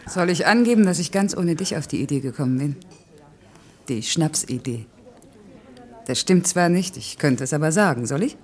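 A woman's voice: sits low, lively intonation, moderate pace, pauses often, darkish tone. An actress playing a stubborn, self-doubting working woman in a naturalistic radio play, frank and wry in manner. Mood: pensive, frank.

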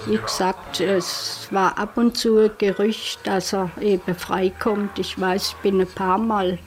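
A woman's voice: mid-range voice, little pitch movement, a little slow, slight background noise. A woman, informally recalling the past. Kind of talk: informal recollection.

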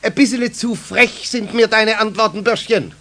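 A puzzled Bavarian customer in a 1970s children's radio play. His voice sits high for a man. He stammers fussily and is easily indignant. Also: moderate pace, bright, forceful tone. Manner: hesitant stammering, scolding.

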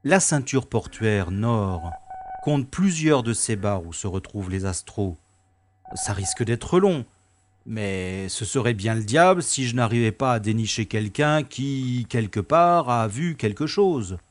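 An actor playing a wry, world-weary special agent in a science-fiction audio drama, with deliberate, slightly pompous phrasing. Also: male voice, on the low side, animated, a little hiss or hum. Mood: wry, confident.

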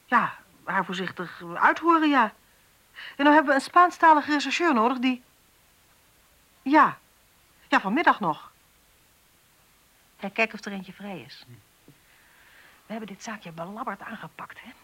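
Casting: sardonic female detective for radio play, high bright voice, impatient, very lively delivery. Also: full character voice, low-quality recording. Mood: businesslike, then troubled. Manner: brisk, sarcastic, impatient. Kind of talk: Dutch radio drama acting.

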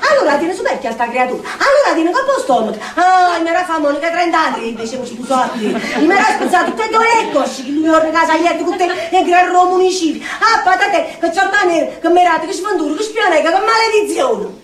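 A woman's voice: very high voice, expressive, brisk, hardly any pauses, pitched above the performer's own voice. A woman performing a fretful, scolding Neapolitan wife and mother in a stage monologue, insistently piling up reproaches and warnings. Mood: furious scolding. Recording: echoey distant stage recording with noise.

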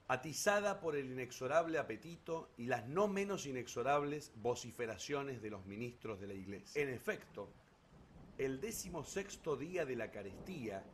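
A man narrating a literary story for radio theatre in an expressive, declamatory way with ironic emphasis. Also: on the high side, a little hiss or hum.

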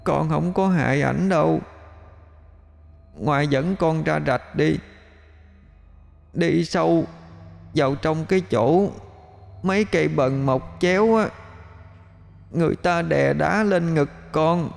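A man voicing the ghost of a dead little girl who pleads faintly, slowly and mournfully. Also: on the high side, vocal fry, big-room sound, pitched above the performer's own voice. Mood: mournful pleading.

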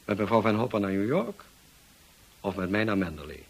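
An actor playing a brusque, teasing, moody gentleman in a Dutch radio drama, speaking in a dry, commanding way. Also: on the low side, lively intonation, fairly quick, vocal fry, slightly rough, full character voice. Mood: brusque, insistent. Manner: dry, commanding, clipped questioning.

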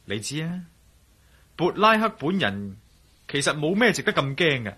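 An actor playing a shrewd, teasing adventurer in a radio drama, with a wry, colloquial manner and a Hong Kong Cantonese accent. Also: male voice, fairly high pitch, very animated, normal speed, pushed and bright, breathy edge, heavily acted. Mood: reasoning. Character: shrewd, teasing male adventurer, cool under pressure.

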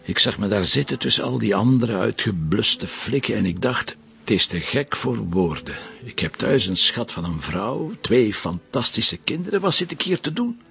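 An actor playing a weary middle-aged Flemish police commissioner, gravelly and coaxing, in a TV drama. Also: male voice, on the low side, lively intonation, moderate pace, fairly bright tone. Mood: tender. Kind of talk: TV drama acting. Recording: dull lo-fi TV audio.

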